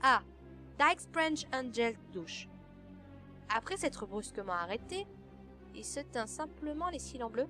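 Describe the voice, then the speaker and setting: A woman's voice: on the high side, lively intonation, a little slow, pauses often, over music. A woman playing a suspicious young woman with a sarcastic, dramatic delivery in a comedic fan audio drama.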